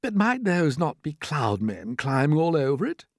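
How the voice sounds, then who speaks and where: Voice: mid-range voice, sing-song, normal speed, no room sound, clean studio sound, voice pushed up. A storyteller voicing a grumpy, melodramatic male centipede who drawls and groans. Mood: sardonic.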